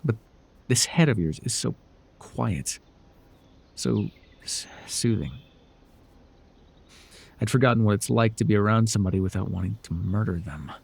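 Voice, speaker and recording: A man playing a proud, sardonic telepathic supervillain with hidden tenderness in an ASMR roleplay, theatrical and condescending with a husky voice. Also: lowish voice, normal speed, long pauses. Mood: teasing, softening. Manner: theatrical, grandiose, flustered, dryly condescending.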